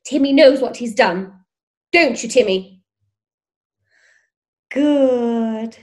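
A woman's voice: a little high, extremely slow, a little mellow. A young woman playing a prim, gushing children's TV teacher with a sinister edge, sing-song and condescendingly jolly in a parody show. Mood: mock sweet.

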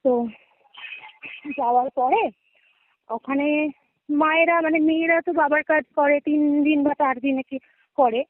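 Young female caller, West Bengal Bengali accent, high register, casual storyteller, tinny phone audio. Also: fairly even intonation, measured pace, long pauses. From West Bengal, India. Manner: conversational, checks in with listener often. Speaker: guest caller. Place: remote call, dry sound.

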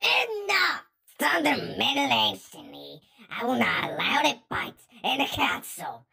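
A woman's voice: high-pitched, sing-song, unhurried, creaky, bright, forceful tone, slightly rough, heavily acted. A woman playing a commanding, stern demon royal in a comic fan dub, with an imperious manner. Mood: angry, commanding.

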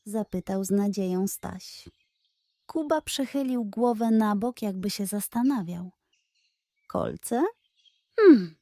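A woman's voice: mid-range voice, measured pace, warm tone, no echo, clean studio sound. A woman narrating a scripted bedtime story for children as a storyteller.

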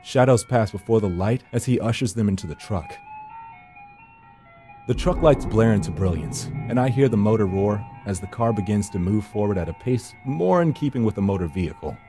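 A man's voice: on the low side, animated, normal speed, dark tone, faint music behind. A man reading first-person horror narration for a visual novel in a brooding, theatrical storytelling manner.

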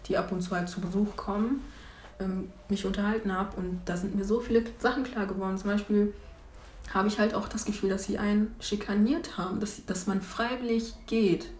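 A young woman in her twenties recalls things in a reflective, hesitant way, with restarts and fillers. Her voice sits at an ordinary middle pitch. The recording has a noticeable roomy echo from a lapel mic. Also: fairly even intonation, a little fast, darkish tone, slight background noise. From Germany. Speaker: documentary interviewee. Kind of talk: reflective documentary testimony. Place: small room indoors and street outdoors.

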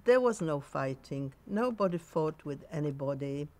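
A woman in her nineties with a Polish accent, recounting memories in a halting way in a sunny garden. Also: deep voice, animated, a little mellow. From Poland. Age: 90s. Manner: halting, with frequent pauses and restarts. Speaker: interviewee.

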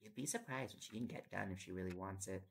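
A very creaky, fry-heavy young male voice acted by a man plays a fond, teasing boyfriend in an audio roleplay. He chats and coaxes playfully in an intimate bedtime style. Heavy noise and clicking run behind him. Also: low voice, fast. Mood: tired, chatty. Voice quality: gravelly, creaky. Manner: playful coaxing, chatty. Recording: loud background noise with clicks. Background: ticking and clicking sounds.

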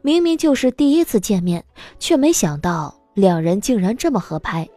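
Mellow, expressive woman narrator. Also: medium pitch, normal speed, faint music behind. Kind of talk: scripted audiobook narration.

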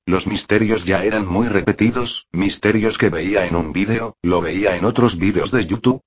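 A man in his thirties, a gaming YouTuber, calmly explaining things in voice-over narration. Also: on the low side, husky. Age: 30s. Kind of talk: voice-over narration over gameplay footage. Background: background music.

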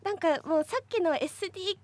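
Woman radio host, pretty high voice, chatty presenting. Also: fast talker. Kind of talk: presenting and casual studio chat.

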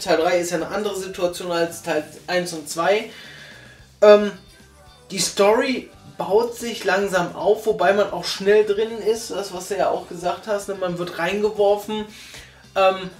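A man's voice: high-pitched, steady tone, a little slow. A young man reviewing a radio drama in casual chat, hesitant, with many fillers and restarts, sitting on a sofa. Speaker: podcast reviewer. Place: living room, sitting on a sofa. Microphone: far camera. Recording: roomy, far from the mic, faint music.

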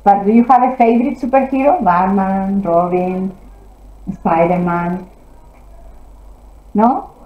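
A woman teaching English to children, encouraging them and gently correcting their answers in an interactive classroom lesson. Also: mid-range voice, slow pace, long pauses. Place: classroom, indoors. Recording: roomy, slightly dull classroom audio.

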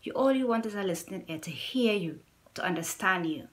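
A woman's voice: mid-range voice, animated, fairly quick. A middle-aged woman from Trinidad and Tobago with a Trinidadian accent, sharing a personal story to camera at home in an earnest, pleading way and repeating points for emphasis. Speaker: YouTuber. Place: small indoor room at home.

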